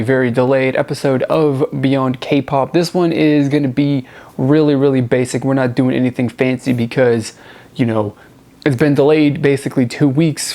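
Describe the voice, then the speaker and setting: A man's voice: medium pitch, darkish tone. A man hosting a music review show, talking casually and off the cuff to viewers, rambling and repeating words for emphasis.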